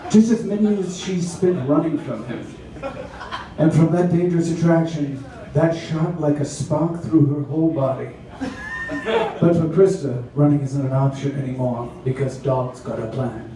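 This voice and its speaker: A man's voice: fairly high pitch, a crowd in the background. Theatrical man reading in an echoey room. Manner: theatrical, drawn-out delivery. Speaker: reader at a live dramatic reading. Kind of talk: mock-dramatic reading of erotic romance fiction. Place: roomy venue with a live audience. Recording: echoey room from far off, audience noise.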